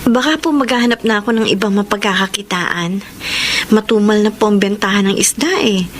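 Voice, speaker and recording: A woman's voice: mid-range voice, fairly quick, a little hiss or hum, close to an everyday voice. A woman with a Filipino accent playing a humble, polite young working mother in a radio drama. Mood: humble, hesitant. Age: young adult.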